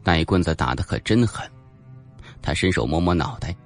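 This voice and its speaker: Male audiobook narrator, deep and dramatic, lively intonation, music underneath. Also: a little fast. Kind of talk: scripted dramatic narration of a suspense novel.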